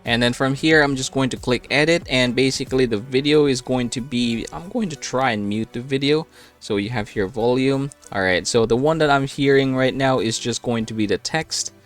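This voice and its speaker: A man's voice: medium pitch, moderate pace, soft background music. A man narrating a step-by-step app tutorial in a casual, instructional way. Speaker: tutorial host.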